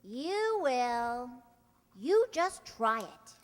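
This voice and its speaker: A woman acts a young niece in a radio comedy, sensible, coaxing and a little impatient. Her voice is extremely high and very animated, and it echoes in a hall. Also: extremely slow, exaggerated voice. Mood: coaxing, brisk. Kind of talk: old-time radio comedy acting.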